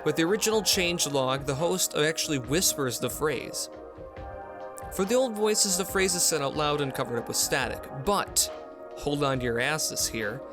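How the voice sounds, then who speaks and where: A man presenting a software update video in scripted explainer narration. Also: on the high side, lively intonation, moderate pace. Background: music.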